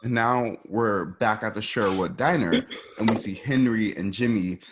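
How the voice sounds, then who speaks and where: A man narrating a radio play, reading out scene directions in a conversational way with a husky voice. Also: lowish voice, moderate pace, noisy background.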